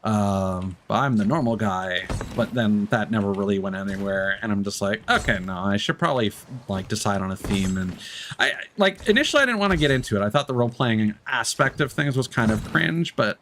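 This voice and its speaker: A man with a fairly low voice talks casually on a game livestream. He is candid and reflective, rambling through his thoughts with halting starts and self-corrections. Faint background noise sits under his voice. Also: animated, a bit bright. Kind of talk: casual streamer chat while gaming.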